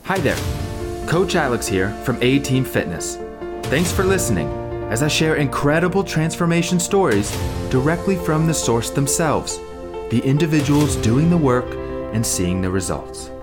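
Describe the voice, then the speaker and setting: A man's voice: mid-range voice, big pitch swings, moderate pace. A man who coaches fitness, reading a scripted, upbeat introduction to his show. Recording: music bed under voice.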